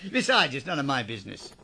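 A man's voice: medium pitch, huge pitch swings, normal speed, gravelly, bright, forceful tone, breathy edge, full character voice. An actor playing a cold, miserly old businessman in a radio drama, scornful and snappish. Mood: cold, mocking.

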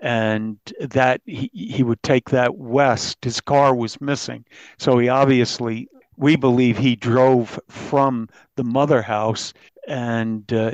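A man, a newspaper reporter, explaining the details of a case in an interview in a halting, detailed way. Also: medium pitch, dry recording, muffled recording.

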